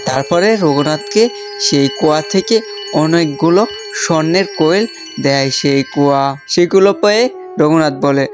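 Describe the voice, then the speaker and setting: A man's voice: a little high, measured pace, over music. A man narrating a scripted children's story.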